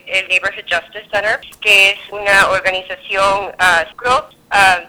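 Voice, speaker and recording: A woman in her thirties from Peru, a judge answering interview questions in a halting way, with many fillers and self-corrections. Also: fairly low pitch, bright, forceful tone, rough recording.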